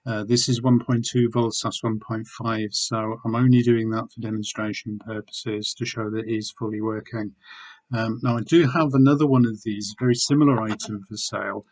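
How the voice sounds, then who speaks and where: Slightly hoarse middle-aged man, rambling. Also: on the low side, flat delivery, normal speed. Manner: rambling, with many ums and restarts. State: slightly hoarse, losing his voice. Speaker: eBay seller reviewing weekly sales. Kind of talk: casual video chat showing items.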